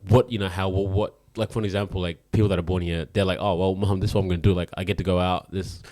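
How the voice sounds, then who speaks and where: A man with a notably low voice, chatting casually and agreeing readily. His thoughts ramble a little as he speaks, and the recording is close and dry. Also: fairly even intonation, rapid-fire, darkish tone, dry recording. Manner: agreeable, says "yeah" often, rambling. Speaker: conversation partner. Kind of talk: casual chat.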